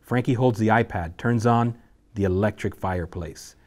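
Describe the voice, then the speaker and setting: A man with a fairly low voice narrates a film script. He reads scene headings and action lines aloud in the present tense, as the stage-directions reader at a table read. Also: moderate pace, a little mellow.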